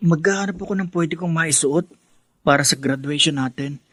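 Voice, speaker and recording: An actor playing an earnest, dutiful teenage son from a poor family in a radio drama. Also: male voice, a little high, moderate pace, a bit bright, dry recording. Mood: hesitant, sheepish.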